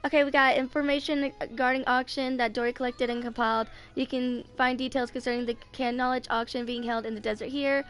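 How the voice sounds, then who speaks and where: A woman's voice: sits high, fairly even intonation, a little fast, few pauses, a bit bright. A woman in her twenties casually commentating on a video game and reading on-screen text aloud. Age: 20s. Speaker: streamer playing a video game. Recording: game music behind the voice. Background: game music.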